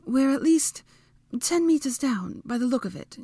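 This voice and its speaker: Woman voicing a high, snippy young witch. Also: lively intonation, moderate pace, warm tone, slightly rough, no room sound, clean studio sound, heavily acted. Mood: breathless, resigned. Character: bossy, exasperated young witch, sharp-tongued and defiant.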